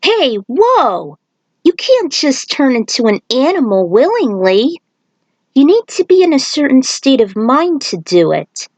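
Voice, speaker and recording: A woman's voice: high-pitched, sing-song, unhurried, darkish tone, dry recording, voice pushed up, heavily acted. A woman voicing an earnest male shaman warrior who explains things in a fan fiction story. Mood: cautioning. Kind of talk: character voice in fan fiction reading.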